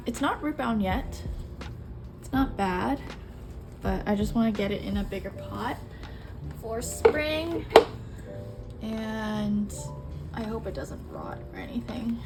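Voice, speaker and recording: Slow, hoarse young woman vlogging. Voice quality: raspy. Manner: low-energy, hesitant, many pauses and fillers. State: hoarse from a sore throat. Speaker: plant care vlogger. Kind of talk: casual chores vlog. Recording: distant mic under loud background music.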